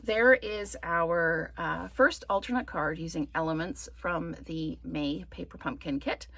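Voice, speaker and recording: A woman from Canada giving a relaxed craft tutorial, her voice slightly croaky from smoke, rambling with many ums. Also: lowish voice, measured pace, no echo. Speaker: card-making demonstrator. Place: indoors at a craft table.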